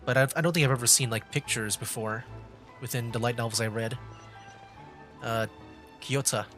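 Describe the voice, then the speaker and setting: A man casually commentating on a game livestream in a hesitant, halting way, often repeating himself. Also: medium pitch, steady tone. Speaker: streamer playing a video game. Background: game music.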